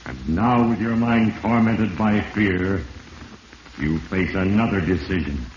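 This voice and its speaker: An actor playing Fate, a solemn, ominous spirit who narrates a sinner's downfall with grave, deliberate, accusing address in 1940s radio mystery style. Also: male voice, sits low. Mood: ominous judgment. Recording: muffled echoing vintage radio recording, hissy.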